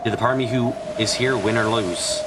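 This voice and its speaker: A man's voice: on the low side, fairly quick, very noisy. A man reading a visual novel aloud as dramatic scripted narration on a stream.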